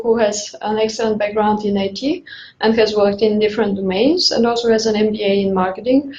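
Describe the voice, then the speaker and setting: A woman from Bulgaria, whose first language is Bulgarian, introduces herself to a webinar audience in English. She speaks in a friendly, mid-pitched voice, with small fillers and restarts. The audio is dull and lo-fi, with a roomy echo. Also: darkish tone. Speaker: webinar presenter.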